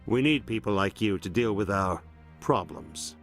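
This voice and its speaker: Senior military admiral for a game male actor: lower register, frustrated, formal yet blunt, light music underneath. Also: moderate pace, natural voice. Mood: formal, approving. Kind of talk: video game voice acting.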